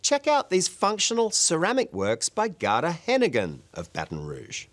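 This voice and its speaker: A man's voice: a little high, wildly swooping pitch, normal speed. A man presenting a television arts show with scripted narration.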